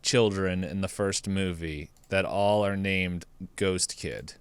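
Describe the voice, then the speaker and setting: A man's voice: deep voice, slow pace, smooth, dry recording. A man co-hosting a podcast, discussing films casually and correcting himself as he goes. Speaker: podcast co-host.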